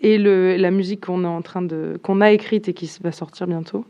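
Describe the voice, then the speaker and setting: A woman's voice: fairly low pitch, fairly even intonation, brisk, clear, resonant tone, lo-fi sound. A young woman from southern France, a band's singer, answering interview questions shyly and hesitantly as she searches for her words. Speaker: singer of a band. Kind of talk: casual interview.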